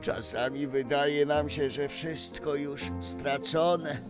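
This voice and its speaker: An actor playing a wise, kindly old snowman in a children's audio story, speaking in a solemn, encouraging, deliberate manner. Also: on the high side, steady tone, music in the background.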